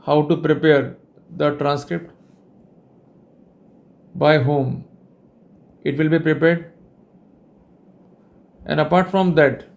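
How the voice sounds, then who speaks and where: A man with an Indian accent giving a formal instructional lecture on his own, in deliberate, measured phrases with short pauses. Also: a little high, steady tone, gravelly. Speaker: legal educator presenting a lecture.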